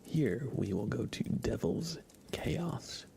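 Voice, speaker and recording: A man's voice: medium pitch, lively intonation, slow pace, gravelly, mellow, slight background noise. A man hosting an ASMR live stream, giving mostly whispered, mock-dramatic game commentary.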